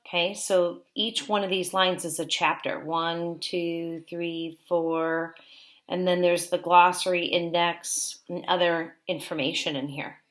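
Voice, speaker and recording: Slow-paced woman teacher reading aloud. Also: fairly low pitch, flat delivery. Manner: deliberate, simple teacherly phrasing for young children. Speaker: teacher reading a book aloud to young children. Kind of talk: read-aloud lesson with explanatory asides.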